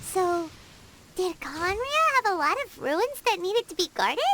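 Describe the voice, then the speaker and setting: A tiny, childlike fairy sidekick from a video game. The voice is squeaky and extremely high, with lively swings in pitch. The delivery is unhurried, inquisitive and excitable. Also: female voice, heavy background noise, full character voice. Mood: curious, alert. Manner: talks about self in third person, inquisitive. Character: small, childlike floating fairy companion, excitable and curious.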